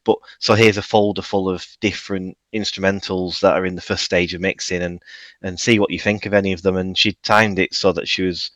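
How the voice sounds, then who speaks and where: Low-voiced man, modest producer. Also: level tone, brisk, fairly bright tone, dry recording. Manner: modest, self-deprecating, rambling with fillers. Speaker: music producer guest. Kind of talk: casual radio interview chat.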